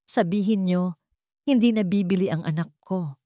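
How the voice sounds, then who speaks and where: A woman's voice: lowish voice, normal speed, mellow, dry recording, clean studio sound, natural voice. A woman voicing a proud, cold young single mother in a dramatic love story, stern and defiant. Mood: angry, defiant.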